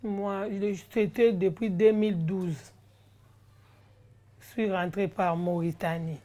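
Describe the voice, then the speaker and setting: Slow-speaking Congolese woman. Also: medium pitch, steady tone, warm tone. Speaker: interviewee.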